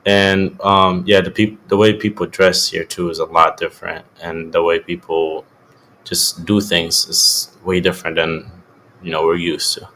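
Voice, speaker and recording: A teenage boy born in Syria and raised in Jordan, with Arabic as his first language, answering questions in a loose, relaxed way full of "um" and "kinda". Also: deep voice, measured pace, a little hiss or hum. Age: teens. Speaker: student guest. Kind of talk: casual interview answers.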